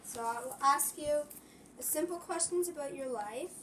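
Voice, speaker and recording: A woman's voice: sits high, a little slow, a bit bright, a little hiss or hum. A young woman interviewing an elder for a school project, tentative and often restarting her questions. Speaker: student interviewer.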